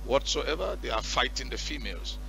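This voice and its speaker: Pastor guy on a headset, bright forceful voice, yells commands at spirits, faint music under it. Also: medium pitch, animated, fairly quick, gravelly, low-quality recording. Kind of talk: deliverance prayer and counseling. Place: church stage with curtain backdrop. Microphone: headset mic.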